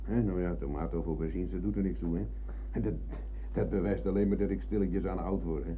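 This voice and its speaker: An actor playing a forgetful old war veteran in a radio play, husky and halting as he rambles. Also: sits low, steady tone, a little hiss or hum, close to an everyday voice. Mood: rambling, hesitant. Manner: hesitant, halting, peppering talk with tag questions. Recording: thin, phone-like old radio recording.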